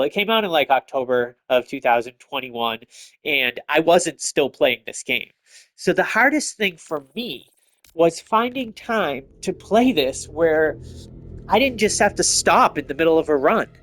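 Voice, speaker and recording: A man's voice: fairly high pitch, a bit bright, no echo. A man giving casual, rambling, explanatory commentary as he plays through a video game. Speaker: gamer narrating a playthrough.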